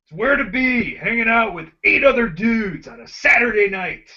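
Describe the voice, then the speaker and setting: A man's voice: high-pitched, lively intonation, moderate pace, pushed and bright. A man hosting a rowdy group video chat, joking and giggling a lot. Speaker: host of the hangout. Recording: dull lo-fi call audio.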